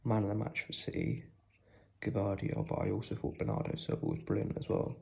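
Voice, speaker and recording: A young man with a cold and sore throat, talking quietly and casually about a football match, with lots of ums. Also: lowish voice, very animated, fairly quick, vocal fry. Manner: speaks quietly, holding back volume; rambling with many ums. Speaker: football fan vlogger. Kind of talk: casual post-match reaction.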